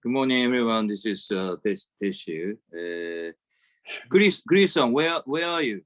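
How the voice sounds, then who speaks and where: A man's voice: lowish voice, lively intonation, measured pace, a bit bright. A man with a Japanese accent casually coordinating an online meeting as its organiser.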